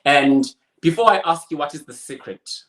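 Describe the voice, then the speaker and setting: A man hosting an interview, asking measured, encouraging questions with pauses. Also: on the high side, expressive, a bit bright, echoey room. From South Africa. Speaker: interview host. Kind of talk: conversational interview.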